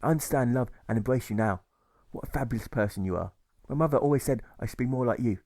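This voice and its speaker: A man reading a gentle, apologetic young pacifist with earnest, repetitive pleading in an audiobook. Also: medium pitch, fast, no echo, close to an everyday voice. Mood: warm, apologetic.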